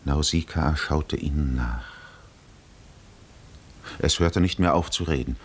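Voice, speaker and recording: An actor as the grave narrator of a 1950s literary radio drama, telling an ancient epic in a measured, dramatic manner. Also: male voice, very deep voice, a little hiss or hum. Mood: wistful, measured. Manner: measured, dramatic, hushed at times.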